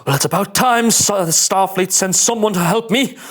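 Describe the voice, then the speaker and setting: Man playing a high, indignant alien senator. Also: moderate pace, a bit bright. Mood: peevish. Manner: peevish, accusatory. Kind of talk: character table read of sci-fi script.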